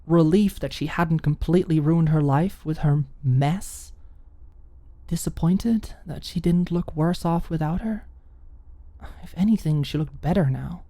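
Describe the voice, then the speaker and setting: A woman's voice: deep voice, a little slow, mellow, dry recording. A woman reading scripted story narration for an ASMR audiobook, recorded close to the mic.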